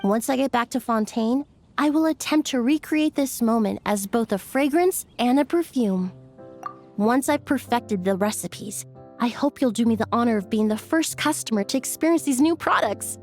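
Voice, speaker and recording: Refined young female game perfumer, high voice, gracious and polite, faint music underneath. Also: expressive, moderate pace, a little mellow. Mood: delighted, gracious. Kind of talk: video game voice acting.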